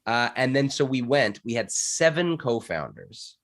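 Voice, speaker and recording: A man's voice: mid-range voice, big pitch swings, a little slow, fairly bright tone, dry recording. A Canadian man speaking as an interview guest, telling stories in a casual, candid, conversational way and often interrupting himself. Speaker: interview guest, entrepreneur and former actor.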